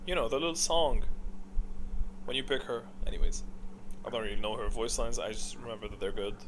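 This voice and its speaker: A man's voice: medium pitch, a little fast, fairly bright tone. Casual rambling man over background noise. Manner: hesitant, rambling, stop-start delivery. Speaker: video host ranking game voice lines. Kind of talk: casual unscripted tier-list commentary.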